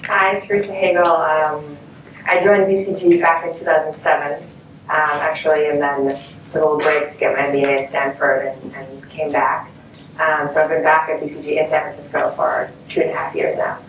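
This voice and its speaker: A woman's voice: low voice, level tone, moderate pace. A woman panelist speaking conversationally at a conference, with frequent restarts. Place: conference stage. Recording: roomy, distant mic, faint noise.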